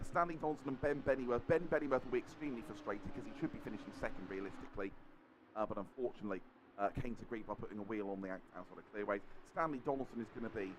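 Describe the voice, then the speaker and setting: Hoarse, fast-talking race commentator. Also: male voice, noisy background. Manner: excitable, fast, rises in pitch at the finish. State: sore throat, losing voice. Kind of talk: sim racing commentary.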